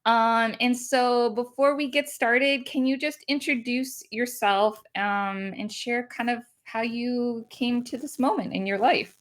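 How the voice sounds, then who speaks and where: Bubbly chatty woman hosting a podcast, kinda high voice, laughs easy. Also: unhurried, hardly any pauses. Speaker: podcast host.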